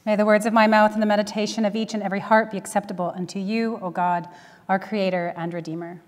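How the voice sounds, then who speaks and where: A woman's voice: mid-range voice, level tone. Wry woman preacher in a reverberant church. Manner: wry, dryly humorous, with deliberate pauses. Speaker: minister preaching. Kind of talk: conversational sermon. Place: church sanctuary at a pulpit. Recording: echoey church hall, long reverb.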